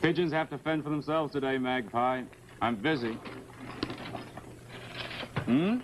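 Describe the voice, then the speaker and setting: An actor playing a breezy, reassuring boyfriend with a brisk, teasing manner in a mid-century suspense drama. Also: male voice, medium pitch, close to an everyday voice. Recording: faintly noisy vintage broadcast.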